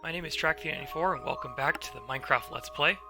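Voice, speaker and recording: A man with a hoarse voice from being under the weather, hosting a casual gameplay commentary and explaining things step by step with many fillers. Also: on the high side, fast. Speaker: let's play host. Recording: game music playing behind voice.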